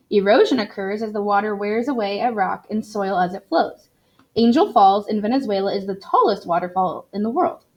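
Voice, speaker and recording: Female teacher, slightly high pitch, reading aloud and explaining clearly to young children. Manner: explanatory, addressing young learners. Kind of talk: expressive read-aloud for children.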